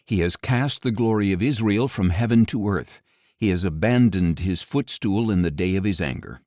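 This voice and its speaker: Man, fairly deep voice, solemn scripture narration, measured phrasing, deliberate pauses, very dry recording. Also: darkish tone, no echo. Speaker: audiobook narrator.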